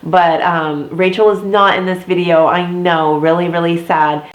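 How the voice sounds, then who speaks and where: A woman's voice: fairly low pitch, fairly even intonation, a little slow. A woman in her thirties, a former theme park performer, chatting casually to camera with a slightly congested, nasal voice. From Florida, US. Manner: chatty, many fillers and asides. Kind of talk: casual talking-head vlog. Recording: echoey room.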